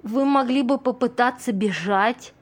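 A woman's voice: on the high side, measured pace. An actress in an amateur audio play playing a naive, curious young woman, questioning and a little hesitant.

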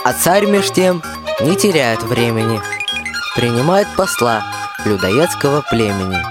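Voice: mid-range voice, sing-song, unhurried. A performer playing a folk jester who narrates a rhymed radio fairy tale in a jaunty storytelling manner. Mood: jaunty, mocking. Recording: loud music behind the voice.

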